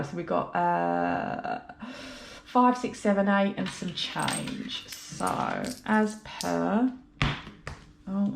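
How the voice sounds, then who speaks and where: A woman's voice: medium pitch, gravelly, background noise. A British woman with a blocked-up nose from a head cold, chatting casually as she counts cash for her budget vlog. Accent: British English. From United Kingdom. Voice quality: chatty, rambling, apologetic. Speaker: budgeting vlogger.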